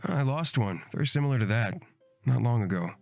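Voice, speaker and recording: A man's voice: mid-range voice, animated, brisk, vocal fry, darkish tone, soft background music. A man playing an aloof, sarcastic young fantasy character with a dismissive drawl in a visual novel.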